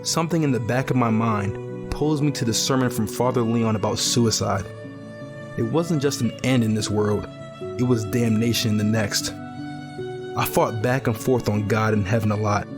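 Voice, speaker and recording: A man's voice: mid-range voice, moderate pace, lightly acted. Male actor as grieving teen survivor, audio drama; somber, bitter, medium register, scored with music. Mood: despairing, brooding. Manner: brooding, earnest, somber. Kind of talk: post-apocalyptic audio drama monologue and dialogue.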